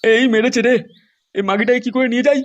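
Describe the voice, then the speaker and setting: A man's voice: very high voice, steady tone, fast, dull audio, background noise. A man voicing a jealous, foul-mouthed husband who bosses his buddies around, brash and threatening, in a crude comedy cartoon. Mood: irritated, evasive.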